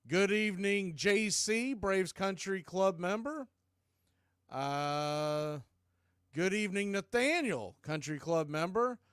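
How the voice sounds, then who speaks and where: A man's voice: sits high, expressive, takes it slowly, fairly bright tone, clear, resonant tone, dry recording, studio quality. A man hosting a live baseball stream, doing casual play-by-play and chatting with listeners in a folksy, informal way. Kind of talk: casual live sports commentary.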